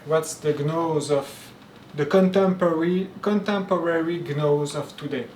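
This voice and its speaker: A man from Quebec, a native French speaker with a Québécois French accent, gives an informal spoken lecture with halting speech, many fillers and restarts. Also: fairly high pitch, a little mellow, a little hiss or hum. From Quebec, Canada. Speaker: spiritual teacher. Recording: roomy echo, mic at a distance, faint ambience.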